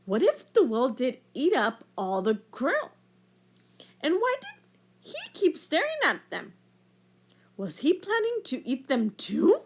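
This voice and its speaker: Casting: female storyteller, high voice, very lively intonation, expressive picture-book narration for children. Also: takes it slowly, long pauses. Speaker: teacher reading a story aloud.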